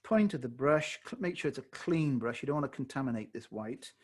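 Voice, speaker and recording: A man with a slightly hoarse voice, teaching watercolor live on a video call and thinking aloud as he paints. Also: medium pitch, brisk. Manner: relaxed, thinking aloud while painting, self-correcting. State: slightly hoarse, losing his voice. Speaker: watercolor tutor. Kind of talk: live online painting tutorial.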